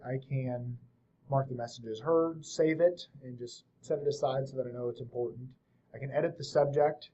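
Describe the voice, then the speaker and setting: A man hosting a software tutorial, explaining things step by step in an informal, casual way. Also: mid-range voice, highs cut off. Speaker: host of a software tutorial series. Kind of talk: casual screen-share tutorial narration.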